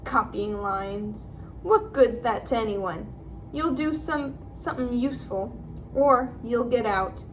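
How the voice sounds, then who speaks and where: A woman voicing a gruff, fierce but kindly male gamekeeper in a storybook. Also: on the high side, takes it slowly, distant mic, slight background noise. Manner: growling, blunt.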